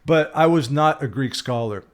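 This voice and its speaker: A man's voice: fairly high pitch, normal speed. A man, talking in a reflective solo video, rambling and correcting himself, candid and emphatic at times. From Canada. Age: 60s. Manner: rambling, with frequent self-corrections and fillers. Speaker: spiritual vlogger.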